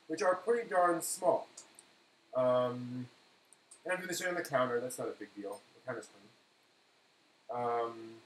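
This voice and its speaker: Rambling man in an echoey room. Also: on the high side. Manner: rambling, self-correcting, muttering to himself while working. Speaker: live stream host. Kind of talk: casual cooking demonstration, talking through steps. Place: indoor kitchen at a counter. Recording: echoey room, clear background noise.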